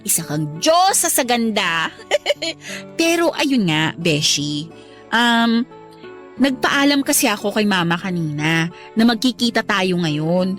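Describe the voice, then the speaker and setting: A woman's voice: mid-range voice, very animated, takes it slowly, a bit bright, faint music behind. A young woman voicing a shy, naive heroine in a radio love story, earnest and easily flustered. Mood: pleading. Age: young adult. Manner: earnest, flustered, then indignant. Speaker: heroine Abby. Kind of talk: radio drama acting.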